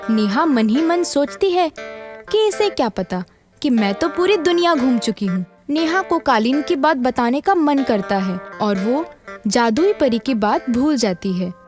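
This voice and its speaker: A woman's voice: high voice, expressive, a little slow. A woman narrating a scripted children's story as storyteller, with music behind her.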